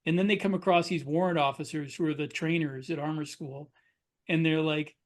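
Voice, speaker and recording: A man's voice: a little high, steady tone, brisk. A man telling anecdotes casually as a podcast co-host and author.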